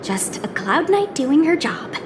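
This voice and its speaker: High-voiced young knight girl. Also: sing-song, measured pace, over music. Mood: earnest, reassuring. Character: earnest, helpful young female knight soldier. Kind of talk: video game cutscene dialogue.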